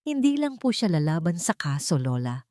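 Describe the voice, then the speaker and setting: A woman narrator plays a resolute young man, a lawyer in an audio drama. He speaks firmly and respectfully, measured and protective, in a dry close recording. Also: low voice, very animated, darkish tone, no echo. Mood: grave, hesitant.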